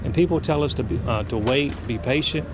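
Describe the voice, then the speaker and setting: A man's voice: medium pitch, fairly quick. A middle-aged man, a protester, giving a spoken interview answer. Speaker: veteran protester being interviewed. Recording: noisy outdoor crowd and traffic.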